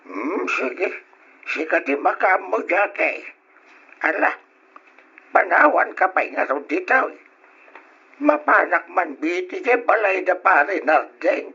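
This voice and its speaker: A kindly middle-aged uncle, as a man plays him, breathy and bright, his voice riding high and swinging widely. The dull, lo-fi sound of an old radio drama recording. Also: very animated, slow pace, pauses often, exaggerated voice. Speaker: uncle character.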